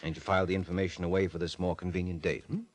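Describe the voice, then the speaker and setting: An actor playing a brash, sardonic hard-boiled private detective in an old radio drama, on a noisy vintage recording. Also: male voice, deep voice, little pitch movement, very fast, lightly acted. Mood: brisk, wry. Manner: clipped, sardonic, probing. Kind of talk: 1940s radio detective acting.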